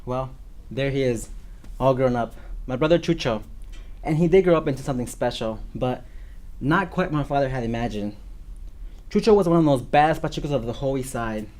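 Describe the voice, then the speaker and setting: A man's voice: medium pitch, lively intonation, fairly quick, long pauses, a little mellow, natural voice. A man performing a narrative monologue as a proud brother telling a family story. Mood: reflective, proud. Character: man proudly recalling his tough brother.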